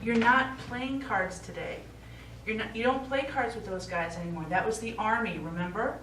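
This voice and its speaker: A grown daughter, played by a woman in a live one-act play, caring yet exasperated with her father. Her voice is slightly high and insistent. The recording is echoey and distant, with faint noise. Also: normal speed, a bit bright, lightly acted. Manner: insistent, pointed questioning. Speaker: stage actor. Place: small theatre stage.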